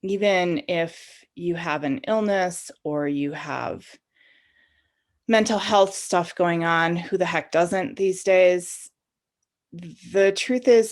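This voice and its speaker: A woman leading a guided meditation in an informal, conversational and inviting way, as the guide of the session. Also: on the low side.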